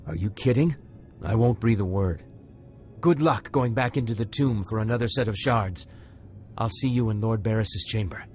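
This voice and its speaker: An actor playing an anxious, craven young Sith trainee in a video game, earnest and faltering, with music underneath. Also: male voice, fairly low pitch, moderate pace, a little mellow, natural voice. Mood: relieved, grateful. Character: anxious, defeated male Sith acolyte, craven and grateful. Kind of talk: scripted video game drama.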